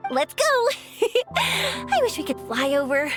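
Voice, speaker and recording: A woman voicing a cheerful, sincere young woman adventurer in a video game, earnest and expressive. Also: high-pitched, slow pace, exaggerated voice. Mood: excited. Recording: music playing behind the voice.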